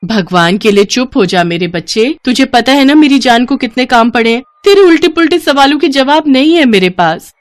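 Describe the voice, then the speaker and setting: A woman's voice: high-pitched, expressive, moderate pace, few pauses, voice pushed up. A woman voicing an exasperated, scolding young mother in a moral story. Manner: scolding, harried.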